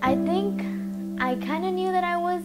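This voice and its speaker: A woman's voice: extremely high pitch, moderate pace. A young woman from Mexico speaking hesitantly in a documentary interview. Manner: hesitant, with fillers. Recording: loud music behind.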